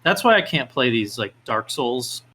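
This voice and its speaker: A man speaking casually as a podcast guest, with halting, hesitant phrasing. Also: medium pitch, sing-song, pushed and bright. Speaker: podcast guest, independent game developer. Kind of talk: casual interview chat. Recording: clear background noise, room ambience.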